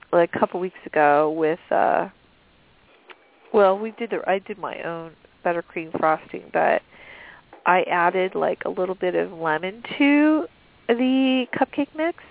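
A woman's voice: fairly low pitch, a little slow, gravelly. A sniffly woman co-hosting a cooking show, chatting casually and rambling with lots of fillers. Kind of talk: casual chat. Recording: dry tinny phone line.

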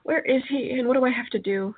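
A woman's voice: high-pitched, quick pace, breathy edge, narrow, tinny audio. A woman playing an earnest, eager young time traveller in a radio play. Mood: tearful, anxious.

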